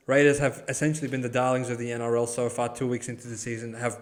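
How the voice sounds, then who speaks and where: A man's voice: mid-range voice, flat delivery, fast talker. An Australian man hosts a casual sports podcast in an ordinary mid-range voice. He talks in slangy, blunt banter and swears freely as he previews games.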